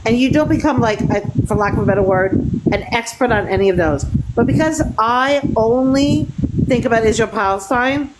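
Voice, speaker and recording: A woman's voice: fairly high pitch, normal speed, heavy background noise. A woman hosting a live debate stream in casual chat, emphatic, repeating her points and talking over her guest.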